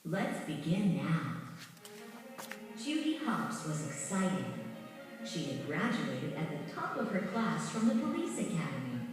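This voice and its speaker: A woman reading scripted children's storybook narration in a measured, expressive way. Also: medium pitch. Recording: very echoey, distant, music behind. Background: music.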